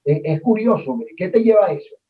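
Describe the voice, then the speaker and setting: Male questioner, high register, halting spontaneous delivery, thin and distant call audio. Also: animated, narrow, tinny audio. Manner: halting, restarts his phrases. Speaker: participant asking the guest a question. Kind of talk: spontaneous question in an online forum.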